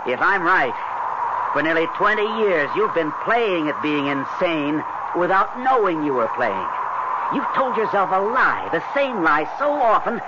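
An actor in a 1970s radio drama playing a resolute school counselor who reasons with a gunman in a measured, insistent way. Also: high-pitched, very animated. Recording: muffled, very noisy 1970s radio recording.

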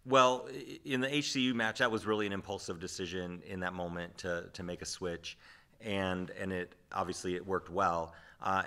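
Guy in his 40s, volleyball coach at a press conference, deep voice, pretty monotone, thinks out loud. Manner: measured, reflective, frequent restarts. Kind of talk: postgame press conference answers. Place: press room with sponsor backdrop. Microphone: desk microphone.

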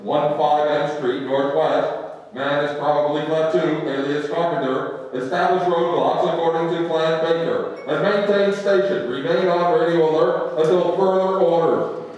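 An actor playing a formal, terse police radio dispatcher in a 1950s-style radio drama. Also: male voice, on the high side, fairly even intonation, measured pace, darkish tone, lightly acted. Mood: official. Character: clipped, official police radio dispatcher, male. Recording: echoey hall from far off.

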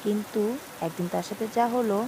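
A woman's voice: mid-range voice, fairly even intonation, brisk, background noise. A woman narrating a scripted cartoon cautionary story, speaking to a child in an admonishing way. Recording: rain sounds over voice.

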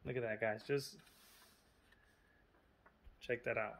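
A man's voice: medium pitch, steady tone, slow, gravelly, a bit bright. Chatty man showing a map. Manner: chatty and informal, lots of fillers and asides. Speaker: vlogger. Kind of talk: casual vlog narration.

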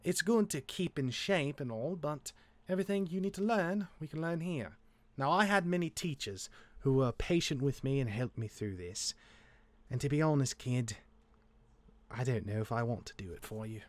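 A man playing a gruff, jaded demigod older brother in a Minecraft roleplay, reluctantly caring, with a halting, exasperated delivery. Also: medium pitch, animated, dry recording, lightly acted. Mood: instructive, reluctant.

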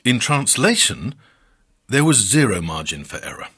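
Expressive male storyteller with slightly husky voice. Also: lowish voice, normal speed. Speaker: storyteller and podcast narrator. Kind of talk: scripted storytelling narration.

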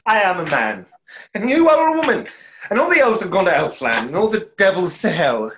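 Tormented young conjurer for a stage play, played by a man: highish, forceful, emphatic, bitter and lovestruck. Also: big pitch swings, brisk, slight background noise, full character voice. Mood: fervent, agitated. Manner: theatrical, emphatic delivery. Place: small room at home, video call.

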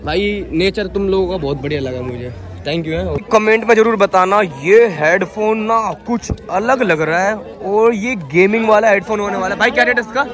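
A young man vlogging from a street market on his phone, chatty and excited, then talking at home. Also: sits high, normal speed, crowd noise. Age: young adult. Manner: excited, chatty, keeps saying "bhai". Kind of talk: casual market vlog. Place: crowded outdoor street market, later a bedroom. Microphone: phone held at arm's length.

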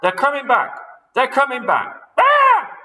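A man voicing a plump, panicky male bird with a shrill, squealing voice, reading it aloud as a storybook character. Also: very animated, unhurried, bright, forceful tone, reverberant, exaggerated voice. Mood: panicked. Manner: breathless and panicky.

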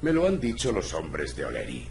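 An actor playing a blunt, world-weary cat private detective in a video game, with a gravelly voice. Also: male voice, very deep voice, huge pitch swings, moderate pace, a little mellow, slight background noise, exaggerated voice. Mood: accusing. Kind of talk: video game voice acting, noir.

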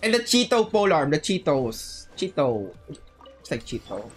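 A woman's voice: deep voice, sing-song, measured pace. Girl streamer talking to chat while gaming, very up and down voice, repeats herself, bit of music behind. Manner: repeats words and phrases, bantering with chat. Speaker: video game livestreamer. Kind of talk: casual gameplay chat with viewers.

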